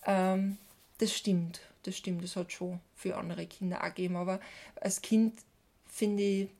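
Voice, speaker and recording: A woman's voice: mid-range voice, flat delivery, a little slow, dark tone. A young woman with an Austrian accent chatting casually and enthusiastically as a podcast co-host.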